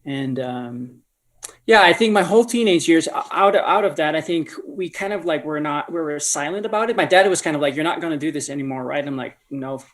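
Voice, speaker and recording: Higher-voiced man telling his story. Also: lively intonation, a little fast, fairly bright tone. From Netherlands. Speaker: podcast guest, ministry leader. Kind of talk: personal storytelling in an interview.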